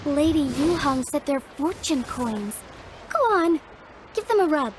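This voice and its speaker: A woman's voice: sits high, animated, a little slow, background noise. A woman playing a friendly, agreeable young woman in a video game, with a polite, upbeat way of talking. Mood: pleased, encouraging. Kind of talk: English video game voice acting.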